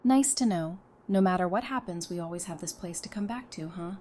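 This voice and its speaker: A woman playing a caring, gently teasing wife and friend in an audio drama, speaking casually and familiarly. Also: fairly low pitch, a little fast, close to an everyday voice. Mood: light, warm.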